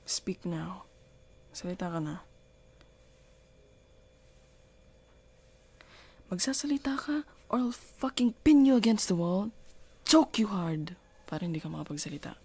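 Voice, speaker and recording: A woman's voice: on the low side, animated, a little mellow. A woman with a Filipino accent playing a jealous, domineering girlfriend in an intimate ASMR roleplay, questioning and commanding in mixed Tagalog and English. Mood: stern, threatening. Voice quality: husky, breathy. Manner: slow deliberate questioning, commanding, switching between English and Tagalog. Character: jealous, domineering girlfriend scolding her partner.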